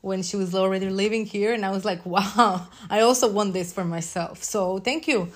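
A woman from Croatia hosting a podcast, friendly and quick to laugh as she interviews her guest. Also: mid-range voice, steady tone. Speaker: podcast host.

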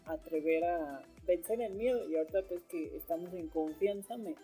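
A man's voice: fairly high pitch, moderate pace, warm tone, faint music behind. A man chatting on a talk show, asking curious questions with hesitant restarts. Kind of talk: casual talk-show chat.

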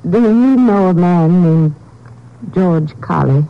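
A woman's voice: on the low side, animated, takes it slowly. An elderly woman in an old radio play, troubled and worn down. She speaks politely and hesitantly in a subdued way, through a dull vintage broadcast recording. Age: older. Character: weary, troubled elderly woman searching for a man. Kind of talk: 1950s radio drama acting.

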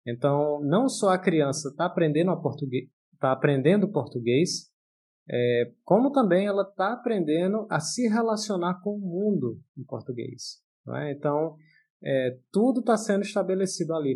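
A man's voice: on the high side, lively intonation, moderate pace, darkish tone, breathy edge. A man explaining in casual talk, often checking that listeners follow with short tag questions. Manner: explanatory, with frequent checking tags.

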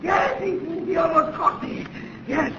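Radio thriller villain: crazed lonely tunnel killer, very high shrill voice, wildly swinging delivery, paranoid ranting. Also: male voice, animated, a crowd in the background. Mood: frantic rage. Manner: ranting, repetitive, halting between outbursts. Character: deranged, lonely male tunnel-dwelling killer, menacing and paranoid. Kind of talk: 1930s radio thriller acting. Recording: noisy, muffled old radio recording.

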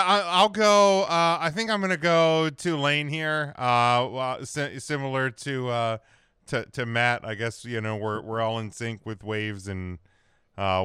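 A man's voice: mid-range voice, sharp tone, clear, resonant tone, no echo, clean studio sound. A man hosting a casual sports picks show, chatting and moving the discussion along.

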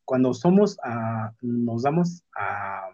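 A man's voice: medium pitch, animated, darkish tone. A man with a Mexican accent chatting casually and frankly, using slang and often breaking off to rephrase himself. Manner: frank, slangy, halting and self-interrupting. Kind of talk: casual chat. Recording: muffled lo-fi audio in echoey room.

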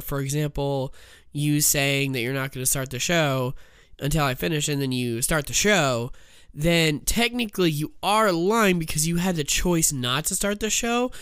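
A man co-hosting a casual podcast, sick and a bit rough-voiced, joking and swearing between laughs. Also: fairly high pitch, a bit bright, dry recording, clean studio sound. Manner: joking, profane, laughs a lot. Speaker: podcast co-host. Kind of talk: casual chat.

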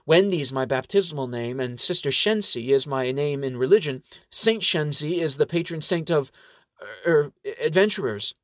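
A man's voice: on the high side, expressive, moderate pace, fairly bright tone, no room sound, clean studio sound, voice pushed up. A man voicing a flustered, brazen young woman adventurer who is bluffing her way as a nun in an audio story.

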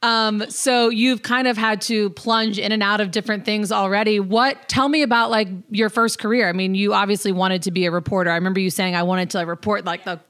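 A woman's voice: medium pitch, fairly even intonation, brisk, few pauses, a bit bright, smooth. A woman hosting a casual interview, chatting informally and encouragingly and sprinkling her talk with "like". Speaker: podcast host, interviewer. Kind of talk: casual chat.

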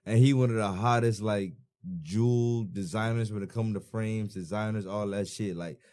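A young man from the Bahamas with a Bahamian accent and a low-pitched voice, talking casually as a podcast guest. He speaks in loose slang and leans on "bro" and "you know what I'm saying" throughout. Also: steady tone, normal speed, darkish tone. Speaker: guest musician.

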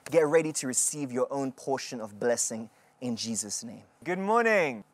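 A woman hosting a televised prayer session, preaching with measured emphasis and interviewing callers. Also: a bass voice, animated. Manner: measured, emphatic, slow sermon-like delivery. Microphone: studio microphone.

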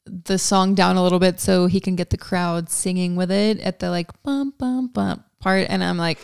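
A woman's voice: on the low side, fairly even intonation, normal speed, creaky, darkish tone, clean tone, no echo, studio quality. A young woman from Georgia with no country accent, chatting casually on a podcast with lots of ums and likes. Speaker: podcast guest. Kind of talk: casual chat.

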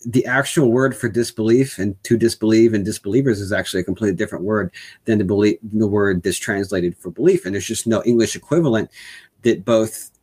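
Husky lowish guy, lots of um and you know. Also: fairly quick, a little mellow. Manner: hesitant, many fillers and restarts. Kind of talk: casual conversation.

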